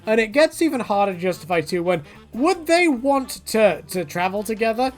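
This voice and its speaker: A man with a fairly high voice talks in a lively, swooping way, as if chatting to viewers. He rambles through his opinions in a jokey, casual commentary style. Faint music plays behind him. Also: moderate pace. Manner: animated, conversational, jokey, punctuates talk with mock quotes. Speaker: video creator giving commentary.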